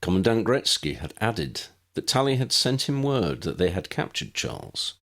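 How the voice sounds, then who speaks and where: A man's voice: on the low side, very animated, moderate pace, a bit bright. A man reading a scripted story aloud as an audiobook narrator.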